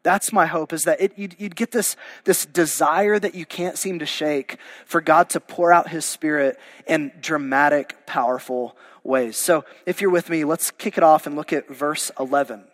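A man's voice: on the high side, moderate pace, hardly any pauses, fairly bright tone, long reverb tail. A male pastor, losing his voice, preaching a verse-by-verse sermon in a conversational, informal way. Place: church sanctuary.

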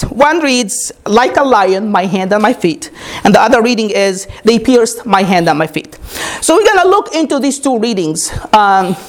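A woman whose first language is Arabic, preaching a teaching sermon, often asking her congregation "Amen?" and explaining step by step. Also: a little high. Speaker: pastor.

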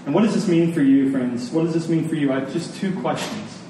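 A man preaching a sermon to a congregation in an earnest, deliberate manner, repeating phrases for emphasis. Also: on the high side, warm tone. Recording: very echoey room, distant mic, faint noise.

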